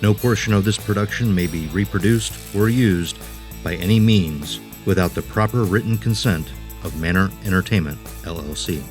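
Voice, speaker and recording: A man announcing scripted programme credits and legal disclaimers. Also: deep voice.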